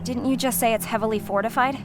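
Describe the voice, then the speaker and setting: A young human woman fighter from a video game, played by an actress, bitter and set on revenge. The voice sits on the high side and comes out sharp, hostile and distrustful, with faint music underneath. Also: fairly even intonation, quick pace, close to an everyday voice. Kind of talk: video game cutscene acting.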